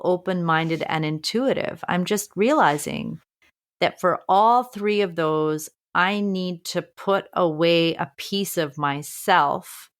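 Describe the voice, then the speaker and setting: A woman teacher co-hosting a podcast speaks in a low, strong voice. She thinks aloud in an earnest way and often restarts her phrases as she reflects. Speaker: podcast co-host. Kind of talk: reflective casual conversation.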